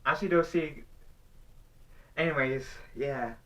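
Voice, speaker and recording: A man's voice: mid-range voice, unhurried. A young man vlogging off the cuff, rambling and restarting as he earnestly poses a question. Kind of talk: unscripted talk to camera. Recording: voice somewhat distant from the mic.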